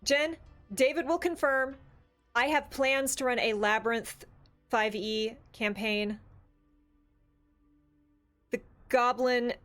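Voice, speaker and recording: A high-pitched woman talks casually as a podcast co-host about tabletop gaming. She is animated and opinionated, as if thinking out loud among friends. Faint music plays behind her. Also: takes it slowly, pauses often.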